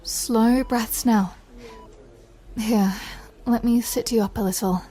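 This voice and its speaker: A woman playing a gentle, patient recovery-room clinician, speaking softly in an ASMR roleplay. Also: on the high side, fairly even intonation, takes it slowly, poor audio. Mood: soothing. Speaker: voice actor in an audio roleplay. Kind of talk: soft ASMR comfort roleplay.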